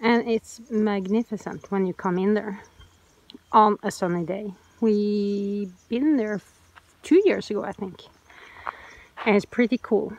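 A woman's voice: mid-range voice, measured pace, dry recording, dull audio. A woman in her forties with a Norwegian accent, casually narrating a sailing vlog from outdoors on a boat. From Norway. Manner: relaxed explaining, pointing out sights. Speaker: sailing vlogger. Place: outdoors on a sailboat at sea.

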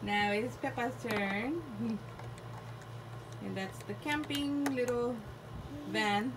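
A woman's voice: fairly high pitch, slow pace. A young woman, a mother, chatting casually as she shows off a new toy to viewers at home. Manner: chatty, narrates each toy piece, plays along with her daughter. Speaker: toy review vlogger, mother. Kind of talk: casual toy unboxing chat. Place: bedroom at home. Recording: noisy home phone recording.

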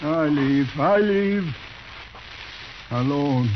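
Old radio western scout, proud, slow broken english, highish voice, hissy dull recording. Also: male voice, lively intonation. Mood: wronged, insistent. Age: older. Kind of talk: 1950s radio western acting.

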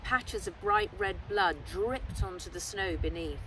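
A middle-aged woman, an author reading her adventure novel aloud in expressive storybook narration. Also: mid-range voice, unhurried. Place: outdoors in woodland. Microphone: camera a short distance away. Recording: outdoors, distant mic, clear background noise.

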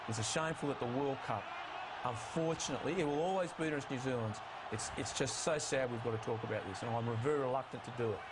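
Male studio analyst, middle pitch, emphatic and pointed, some background noise. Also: fast. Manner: emphatic, rises in pitch to stress key calls. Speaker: rugby analyst.

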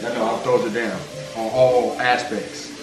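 A man's voice: medium pitch, little pitch movement, unhurried, music in the background. A young man in a home kitchen, hyping up his cooking to viewers with jokes and sound effects. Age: young adult. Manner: hyped, joking, talks to viewers with sound effects. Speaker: cooking partner. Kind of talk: playful cooking vlog chat. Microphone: camera mic across the kitchen. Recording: echoey kitchen with background noise.

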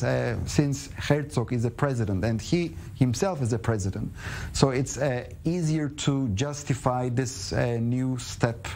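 A middle-aged man giving live news analysis as a studio guest, in halting, hesitant English. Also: mid-range voice, darkish tone. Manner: hesitant, halting phrasing with non-native English grammar. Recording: clear background noise.

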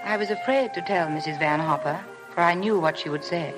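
An actress playing a shy, devoted young bride in 1950s radio drama style, polite, hesitant and self-effacing. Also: female voice, lowish voice, brisk, lightly acted, rough recording. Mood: reflective narrating. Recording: vintage radio broadcast with music.

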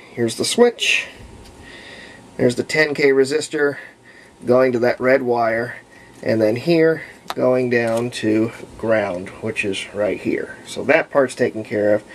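Male hobbyist restorer, mid-range voice, casual and halting folksy narration at a workbench, faintly noisy handheld camera sound. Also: mid-range voice. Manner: halting, thinking aloud, folksy wording. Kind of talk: casual hands-on repair commentary. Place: indoor workbench.

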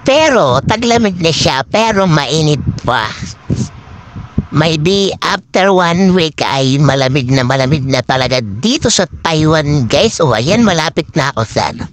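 A woman's voice: animated, unhurried. A middle-aged woman from the Philippines chatting casually on a walking vlog, repeating short phrases, her voice altered by a voice changer to sound man-like. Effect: voice changer giving a man-like pitch. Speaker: vlogger. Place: outdoors walking along city streets. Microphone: phone at arm's length. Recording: noisy street with passing vehicles.

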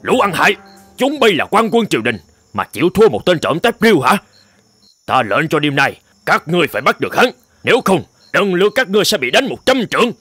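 Scheming middle-aged official, pompous, blustering, animated pitch, cartoon folk tale, clear music behind. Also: male voice, brisk, no room sound, heavily acted. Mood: angry, threatening. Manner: blustering scolding of underlings. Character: pompous scheming male county magistrate, fat, middle-aged.

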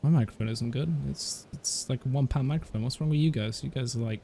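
Man, very dark mellow voice, creaky, sarcastic gaming chat, faint noise. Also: medium pitch, fast talker. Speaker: gaming video maker. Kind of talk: casual trolling in game voice chat.

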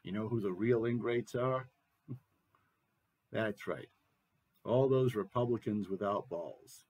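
A man's voice: fairly low pitch, slow, a little mellow, husky, highs cut off. Man acting out a bitter, blustering older president. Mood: bitter boasting. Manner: ranting, emphatic, profane phone talk. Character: crude, bitter, boastful 68-year-old male American president.

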